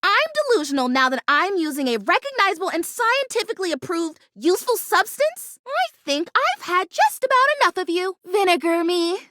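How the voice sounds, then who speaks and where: A woman's voice: very high-pitched, big pitch swings, normal speed, hardly any pauses, pushed and bright, dry recording, clean studio sound, exaggerated voice. A woman voicing an anxious, hopeful artificial houseplant in a video game, chatty and earnest with a quirky turn. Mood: exasperated. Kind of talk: animated video game voice acting.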